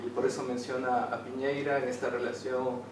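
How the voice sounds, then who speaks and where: A man's voice: mid-range voice, flat delivery, a little fast. Distant, very echoey man asking hesitantly. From Ecuador. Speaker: audience member asking a question. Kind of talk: spontaneous question at a public talk. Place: indoor theater venue during a public discussion. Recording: very echoey room, distant mic, clear noise.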